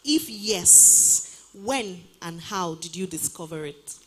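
A woman's voice: lowish voice, animated, slow pace, breathy edge, rough recording. A woman interviewer on a stage, politely reading audience questions aloud and thanking her guest, on a handheld mic in a large auditorium.

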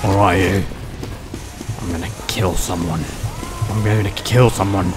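A man's voice: deep voice, steady tone, slow, over music. A man giving casual, improvised gameplay commentary, rambling in a self-deprecating way and often repeating himself. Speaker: gaming video creator. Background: video game sounds.

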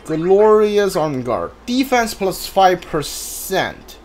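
A gamer giving casual playthrough commentary, thinking aloud in halting fragments over a game. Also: mid-range voice, big pitch swings, darkish tone, slight background noise. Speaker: gamer, streamer. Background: game music.

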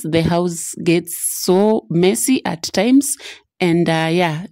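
Soft-spoken woman narrating home cleaning. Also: lowish voice, no room sound, clean studio sound. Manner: relaxed, many "uh" fillers, chatty. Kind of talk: casual voice-over narration.

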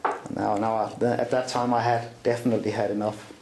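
A man's voice: lowish voice, little pitch movement, brisk, echoey room, noisy background. A middle-aged man with a Danish accent recounting a personal story in an interview, with many fillers and restarts. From Denmark. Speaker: interview guest. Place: small room indoors, seated at a table.

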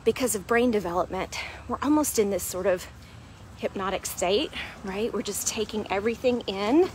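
A woman's voice: mid-range voice, moderate pace, a little hiss or hum. A woman, a weight loss coach chatting casually in a walk-and-talk vlog, explaining things with lots of "right?" and "um". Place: outdoors at a beach park. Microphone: wired earphone mic, phone at arm's length.

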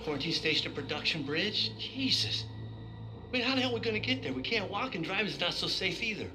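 A man's voice: high-pitched, expressive, fast talker, noisy background. A man playing a nervy, practical young survivor in a video game cutscene. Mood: worried.

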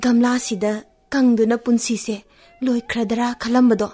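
A woman plays a frail, weary female flower plant in a radio drama. The voice is fairly high and husky, plaintive yet very quick. Faint music plays behind. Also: level tone, dark tone, natural voice. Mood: weary, wistful. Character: weary, frail talking ground flower, female, longing for water. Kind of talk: radio play acting.

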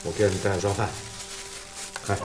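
A man's voice: low voice, flat delivery, brisk, over music, lightly acted. A middle-aged man acting in a film drama as an earnest, firm prosecutor who admonishes and counsels. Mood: quiet reproach.

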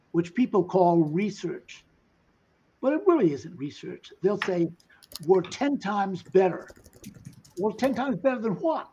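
A man's voice: high-pitched, darkish tone, husky. An older man speaking as a panelist on a video call, folksy and skeptical, mixing anecdotes with asides. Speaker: panelist, company founder. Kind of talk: webinar panel talk. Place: home room. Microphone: webcam on video call. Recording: dull lo-fi video call audio.

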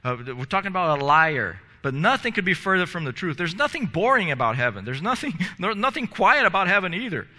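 Earnest bright-voiced pastor in a church. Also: male voice, high-pitched, very animated, a little fast, hardly any pauses, long reverb tail. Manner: earnest, gesturing, many restarts and repeated words. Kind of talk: sermon. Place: church sanctuary in front of stage steps. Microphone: headset mic.

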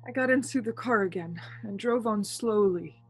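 A woman's voice: mid-range voice, moderate pace, slightly rough, soft background music. A woman playing a haunted, anxious young driver who tells her story in a suspense radio play, earnest and nervous. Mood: brooding dread.

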